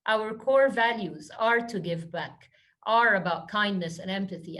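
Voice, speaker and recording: A woman from Egypt giving earnest, flowing answers as a guest speaker in a webinar, often saying "you know". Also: mid-range voice. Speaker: guest speaker, social entrepreneur. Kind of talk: webinar Q&A talk.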